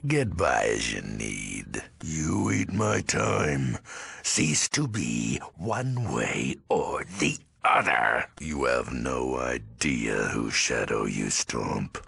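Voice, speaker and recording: Rasping, crazed ghoul gang boss. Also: male voice, extremely low pitch, very animated, extremely slow, fairly bright tone, dry recording, heavily acted. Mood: menacing. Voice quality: very raspy, gravelly. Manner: clipped street slang, theatrical swings. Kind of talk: video game character acting.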